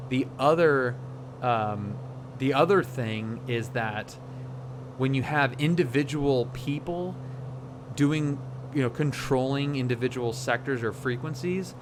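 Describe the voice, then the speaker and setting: A man with a medium-pitched voice talks in a casual, reflective livestream style. He pauses and restarts as he searches for the right words. A clear hum of noise runs behind his voice. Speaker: flight sim streamer.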